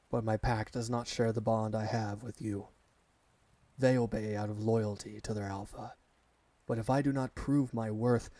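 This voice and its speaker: A man's voice: lowish voice, warm tone, dry recording, natural voice. A man playing a devoted alpha werewolf servant in an ASMR roleplay, speaking formally and earnestly to his master. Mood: earnest pleading. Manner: formal, measured, reverent address to a master.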